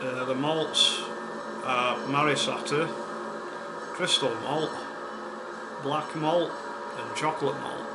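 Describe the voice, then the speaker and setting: A man with a West Yorkshire accent hosting a casual beer-tasting vlog, chatty and unhurried, with plenty of ums and uhs. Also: medium pitch, fairly even intonation. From West Yorkshire, England. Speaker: beer reviewer, channel host. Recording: echoey room with air-conditioning hum.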